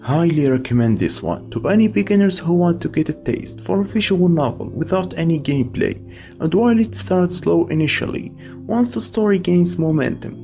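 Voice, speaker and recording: A man's voice: high voice, dark tone. A man narrating a scripted video essay as its host, with casual asides.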